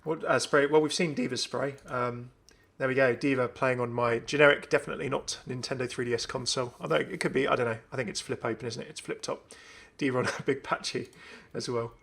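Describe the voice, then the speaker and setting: Creaky-voiced man doing game commentary. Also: medium pitch, fairly even intonation, brisk, a bit bright. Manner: enthusiastic. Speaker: gaming video host. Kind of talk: casual commentary on a game update.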